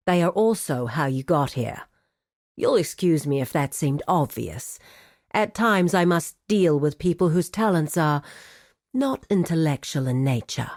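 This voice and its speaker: A woman's voice: lowish voice, a little mellow, no room sound, studio quality, natural voice. A woman voicing a composed, formal administrator of a criminal guild in a video game, speaking precisely and with cool courtesy. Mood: coolly condescending. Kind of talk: scripted video game character dialogue.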